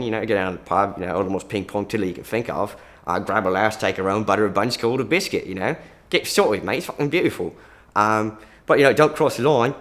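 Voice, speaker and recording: A man's voice: on the low side, voice pushed down, close to an everyday voice. A man plays a cocky working-class English bloke with a broad English accent. He rambles through his lines, tossing in "you know" at every turn. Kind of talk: improvised comedy monologue.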